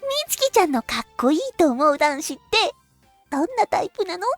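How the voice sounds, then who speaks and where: A woman acting a bubbly, teasing, excitable teenage schoolgirl in a Japanese anime-style voice drama. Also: high-pitched, fast, faint music behind. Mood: playfully curious. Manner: exclamatory, playful, wheedling.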